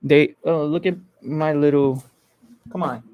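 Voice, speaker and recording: A man from the Bronx, hosting a casual livestream and thinking aloud in an informal, halting way. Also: on the high side, fairly even intonation, dry recording, lo-fi sound. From Bronx, New York. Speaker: host of an online entertainment interview channel. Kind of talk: casual livestream chat answering viewers.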